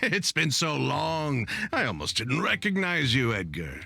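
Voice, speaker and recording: Gravelly, swooping jovial merchant. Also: male voice, on the high side, very animated, unhurried, sharp tone, soft background music, exaggerated voice. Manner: jovial, smooth-talking, chuckling. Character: jovial, slick merchant, elder brother, male. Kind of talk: video game character acting.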